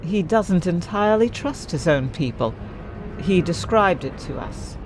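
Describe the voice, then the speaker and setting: A man playing a composed Jedi in a comic dub, telling the tale in formal, measured words. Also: high voice, animated, noisy background. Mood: composed recounting. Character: composed Jedi recounting a daring escape. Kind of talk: dramatic narration and dialogue in comic dub. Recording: music bed under voice.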